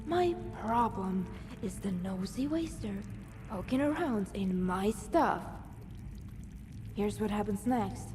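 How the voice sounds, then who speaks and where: Woman acting wary young survivor gal for a game, slow n dry, long reverb, noisy background. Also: medium pitch, lightly acted. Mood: cold, threatening.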